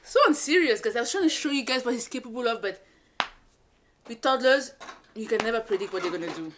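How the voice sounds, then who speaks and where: A young woman from Cameroon, a first-time mom vlogging in a sassy, animated way, whose first language is French. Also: fairly high pitch, quick pace, background noise. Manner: animated, sassy, switches to French with her toddler. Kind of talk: casual talk to camera.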